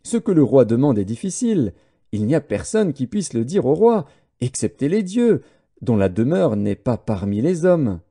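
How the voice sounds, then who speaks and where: Audiobook scripture: male narrator as formal, deferential royal astrologer, very mellow timbre, expressive pitch. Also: moderate pace, slightly rough. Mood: earnest protest. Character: deferential male court astrologers pleading with their king. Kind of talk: dramatised scripture reading.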